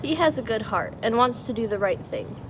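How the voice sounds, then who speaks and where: A woman playing a gentle, principled mother in a puppet show, patiently teaching her son. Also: on the high side, lively intonation, fairly quick, noisy background, natural voice. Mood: patient, instructive. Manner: patient, instructive. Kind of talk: church puppet show dialogue.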